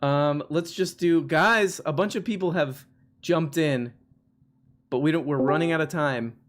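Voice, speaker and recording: A man's voice: a little high, a little slow. A man hosting a live call-in stream, chatting casually with callers and joking with mock outrage. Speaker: live show host taking callers.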